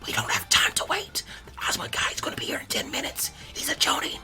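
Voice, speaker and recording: Man voicing an excitable, stubborn ninja boy over music. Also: fast. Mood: panicked whispering. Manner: animated, harsh urgent whispering when anxious. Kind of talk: animated fan fiction character reading. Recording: music behind the voice.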